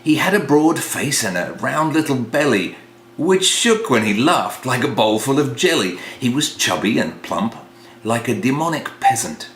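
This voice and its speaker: A man reciting verse as a storyteller, with a dramatic delivery that moves between hushed and exclaimed phrases. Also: mid-range voice, sing-song, moderate pace. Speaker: reader of a comic poem. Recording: roomy echo, faint hum.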